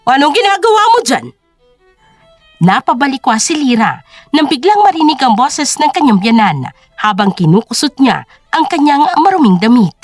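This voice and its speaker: A woman narrates an audio drama in a high, very expressive voice. The delivery is scripted storytelling, recorded dry with soft music underneath. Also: normal speed, dry recording.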